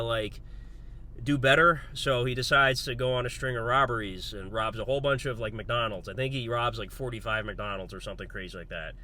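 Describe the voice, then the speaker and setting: Congested man chatting a movie review. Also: fairly low pitch. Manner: relaxed, rambling, lots of fillers. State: congested, under the weather. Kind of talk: casual spoken movie review.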